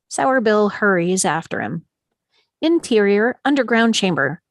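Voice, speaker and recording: A woman's voice: on the high side, dry recording, studio quality. A woman reading stage directions as the narrator of a live script table read.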